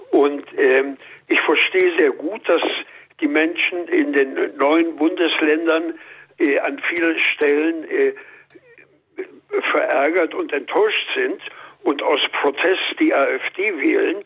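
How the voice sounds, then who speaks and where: Husky man on a tinny phone line. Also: fairly high pitch. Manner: firm, opinionated, with frequent hesitations. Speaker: caller. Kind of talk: call-in radio comment. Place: calling from Berlin by phone. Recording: telephone line.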